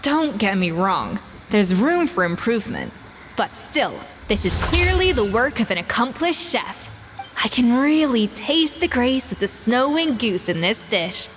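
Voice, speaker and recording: A poised, cutting chef in a reverby hall. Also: female voice, fairly high pitch, sing-song, a little slow, music in the background. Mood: approving. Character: elegant exacting woman chef judging dishes.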